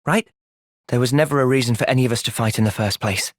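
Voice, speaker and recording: A young man playing an earnest, thoughtful young soldier hero in a fantasy video game's cutscenes, with expressive delivery. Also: medium pitch, fast, no echo, clean studio sound, close to an everyday voice. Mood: calm, thoughtful. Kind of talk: video game cutscene acting.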